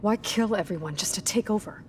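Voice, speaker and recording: A woman's voice: medium pitch, fairly quick, soft background music, lightly acted. A woman acting a determined young warrior heroine in a video game, direct, practical and plain-spoken in a naturalistic style. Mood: probing, serious.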